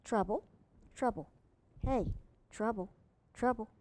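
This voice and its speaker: A woman's voice: fairly high pitch, slow pace, darkish tone. A woman reading a children's book aloud expressively, with chatty asides to young listeners and a pet. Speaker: children's storytime reader.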